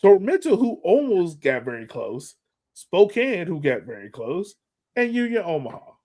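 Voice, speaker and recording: A man's voice: high-pitched, big pitch swings, normal speed, mellow. A man co-hosting a sports podcast in casual fan chat, talking emphatically and repeating phrases to drive points home.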